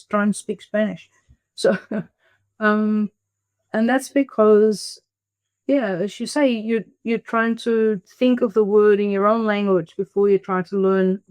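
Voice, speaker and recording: A woman's voice: mid-range voice, darkish tone, no echo. An older woman who speaks English as a second language, talking conversationally as an interview guest. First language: not English (English is second language). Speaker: interview guest, emerita biology professor.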